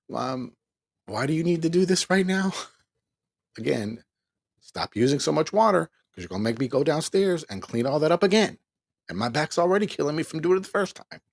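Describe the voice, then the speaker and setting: A man's voice: medium pitch, dry recording, studio quality. A tired man hosting an online ministry show, telling a candid, rambling personal story from home with frequent laughs. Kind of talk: casual personal testimony.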